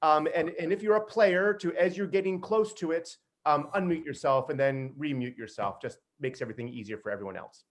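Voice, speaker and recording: A man hosting a community reading, speaking casually and haltingly with many hesitations. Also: on the high side. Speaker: host introducing a community reading. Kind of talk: casual, informal welcome.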